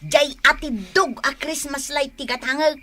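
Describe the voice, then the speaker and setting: Envious gossipy neighbour, scornful, high, piercing voice, Ilocano Filipino accent, comic radio drama. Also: female voice, measured pace, pushed and bright. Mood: scornful, competitive.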